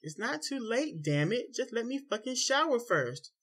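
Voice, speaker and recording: A man voicing a sharp-voiced exasperated wife. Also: very high-pitched, expressive, fairly quick, pitched above the performer's own voice. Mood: angry. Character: tired young wife, defensive and exasperated.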